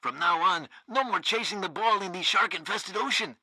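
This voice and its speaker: Bumbling male state-radio announcer, piercingly bright, dry close mic, pompous and excitable comic game delivery. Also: sits high, moderate pace, slightly rough, no room sound, heavily acted. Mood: salesy, upbeat. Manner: excitable, theatrical. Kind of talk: comic propaganda radio broadcast acting.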